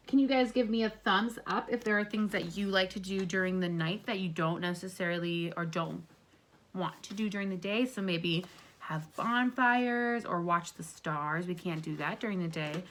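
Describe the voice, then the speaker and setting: A woman reading a picture book aloud to children as a teacher, pausing to put questions to them in an encouraging way. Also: fairly low pitch, fairly bright tone.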